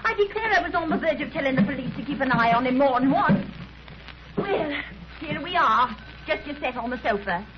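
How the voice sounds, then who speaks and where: A woman's voice: high voice, animated, fairly quick. An actress playing a chatty, fretful working-class landlady in a folksy, exclaiming manner, in 1930s radio drama style. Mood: chatty, deferential. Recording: noisy, muffled 1930s radio recording.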